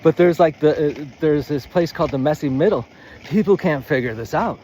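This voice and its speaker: Against a noticeable backdrop of outdoor traffic noise, a man with a slightly high voice teaches informally as a writing coach. He rambles and stammers, restarting his thoughts and drifting into digressions as he thinks out loud. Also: brisk. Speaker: writing coach, presenter of a video series. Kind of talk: rambling informal lesson to camera.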